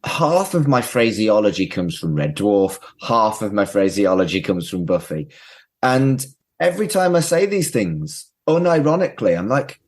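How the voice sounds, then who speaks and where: A man's voice: fairly low pitch, sing-song, normal speed. A man in his forties hosting a casual podcast, talking in an emphatic, sweary way. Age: 40s. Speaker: podcast host.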